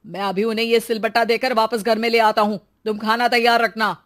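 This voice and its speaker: A man voicing a stubborn, bossy and pious village husband who is quick to scold, in a cartoon story. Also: high-pitched, flat delivery, fairly bright tone. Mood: angry.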